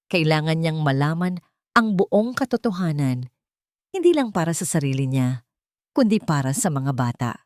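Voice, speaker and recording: A woman's voice: fairly low pitch, a little mellow, dry recording, studio quality. A woman with a Filipino accent narrating a scripted romantic drama as a storyteller.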